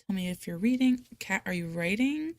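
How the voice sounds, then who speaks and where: A woman's voice: medium pitch, lively intonation, moderate pace, no room sound. A woman with a Rhode Island accent hosting a casual live chat, talking in a chatty, self-deprecating, giggly and playfully dramatic way. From United States, Rhode Island. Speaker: live stream host of reading sprints.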